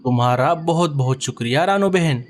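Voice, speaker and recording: Cartoon male bird, polite and grateful, a man voicing him, fairly high voice. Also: moderate pace, slight background noise, lightly acted. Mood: friendly. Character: friendly male bird building a nest. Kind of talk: cartoon story voice acting.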